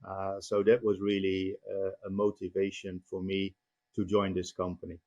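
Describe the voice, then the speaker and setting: A Dutch-accented man in his fifties, speaking in a fairly low voice. He answers interview questions at a careful, measured pace and fills his pauses with frequent "uh"s. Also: monotone, a little mellow, highs cut off. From Netherlands. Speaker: company CEO guest. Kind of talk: podcast interview.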